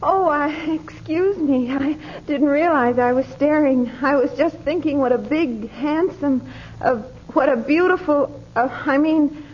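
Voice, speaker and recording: A woman's voice: sits high, a little slow. A woman playing a dreamy, scatterbrained, man-crazy young woman in a 1940s radio comedy, gushing and girlish. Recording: dull, faintly noisy 1940s radio recording.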